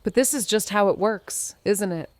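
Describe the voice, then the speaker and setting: A woman's voice: medium pitch, moderate pace, dry recording, close to an everyday voice. A woman playing a young sleuth in a noir audio drama, speaking in a subdued, reflective, uneasy way to a friend.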